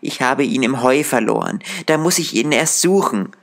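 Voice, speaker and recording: A man's voice: mid-range voice, lively intonation, normal speed, vocal fry, pitched above the performer's own voice. A man voicing a frightened young wife in a fairy tale, anxious and pleading.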